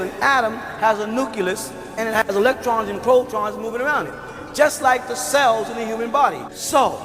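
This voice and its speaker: A man giving a spiritual lecture and answering a question, explaining things slowly and deliberately. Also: very high voice, fairly bright tone, in a large hall.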